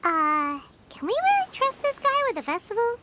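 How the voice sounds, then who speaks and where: A tiny, childlike fairy companion girl for an anime game. She squeaks at an extremely high pitch that bounces wildly. Her manner is cheeky and greedy for snacks. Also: huge pitch swings, moderate pace, very noisy, full character voice. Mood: suspicious. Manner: childlike, refers to self in third person.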